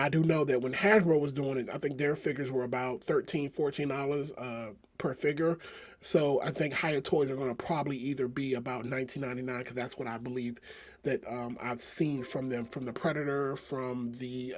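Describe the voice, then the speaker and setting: A man with a hoarse voice from a cold, talking casually through a toy review with lots of fillers. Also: mid-range voice, fairly even intonation, brisk. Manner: rambling, many fillers and restarts. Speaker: toy collector vlogger.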